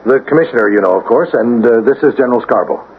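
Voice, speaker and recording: An actor playing a worried, formal city mayor in a 1980s radio drama. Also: male voice, medium pitch, very fast, lightly acted. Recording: tinny, noisy old radio recording.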